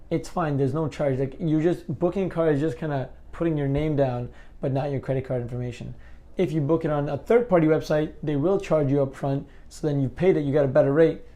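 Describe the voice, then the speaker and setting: Male explainer host, slightly high register, relaxed mellow tone, informal conversational delivery. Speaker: host of an advice video on car rental. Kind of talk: casual explainer to camera.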